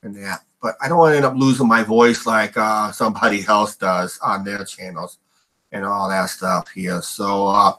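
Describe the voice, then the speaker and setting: Middle-aged male host, bright, rambling livestream chatter full of fillers. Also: lowish voice, fairly even intonation, normal speed. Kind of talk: casual livestream chat.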